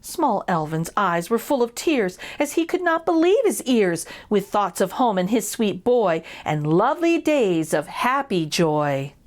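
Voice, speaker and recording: A woman's voice: medium pitch, sing-song, a little slow, few pauses. A woman reading a children's picture book aloud expressively, with little asides to young listeners. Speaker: children's story reader and host.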